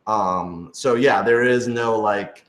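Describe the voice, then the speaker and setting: A man's voice: lowish voice, slow. A man chatting casually and unscripted as a writer-director answering questions, informal, hedging and self-deprecating. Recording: roomy, echoing room sound.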